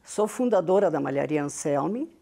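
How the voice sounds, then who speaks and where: An older woman with a heavy Italian-Venetian-influenced southern Brazilian accent, her first language Venetian. Her voice sits somewhat low and sounds mellow and soft. She tells stories in an unhurried way and often ends a thought with a small tag question. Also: lively intonation, gravelly. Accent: Italian-Venetian-influenced Brazilian Portuguese from Rio Grande do Sul. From southern Brazil (Rio Grande do Sul). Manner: warm storytelling, frequent tag questions. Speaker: guest, business founder. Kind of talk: interview.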